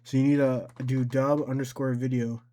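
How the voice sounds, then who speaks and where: Halting, informal man giving a tutorial. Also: mid-range voice, little pitch movement, dark tone. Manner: informal, halting, repeats instructions and corrects himself. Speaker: tutorial host. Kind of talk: casual unscripted software tutorial, thinking aloud while demonstrating.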